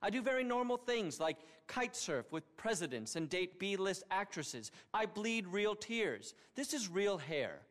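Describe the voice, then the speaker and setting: An actor playing an eccentric, self-important tech billionaire in a game, grandiose and petulant, talking as if giving a keynote speech. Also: male voice, high-pitched, very animated, unhurried, few pauses, a bit bright, full character voice. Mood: boastful. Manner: pompous keynote-speech delivery. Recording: reverberant hall sound.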